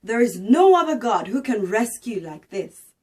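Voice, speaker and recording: A woman's voice: fairly high pitch, big pitch swings, moderate pace, darkish tone, recorded from a distance. A woman voicing a proud, awestruck king in a dramatic scripture reading, with a commanding, declamatory delivery. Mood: solemn decree. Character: proud, awestruck Babylonian king proclaiming loudly.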